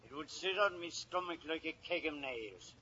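An actor playing a gruff older Irish policeman father with wounded pride and a husky voice, in a radio drama. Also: fairly high pitch, expressive, moderate pace, bright, forceful tone. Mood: sullen. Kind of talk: 1950s radio drama acting. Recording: muffled vintage radio broadcast.

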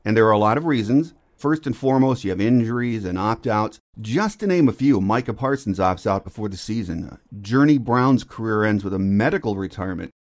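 Male podcast host, low voice, conversational sports interviewer. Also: lively intonation, brisk, hardly any pauses.

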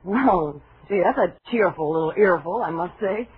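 A woman's voice: lowish voice, expressive, normal speed, natural voice. An actress playing a brassy, slangy young vaudeville woman in 1940s radio drama style. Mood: flustered. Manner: slangy, sassy. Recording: muffled, noisy old radio recording.

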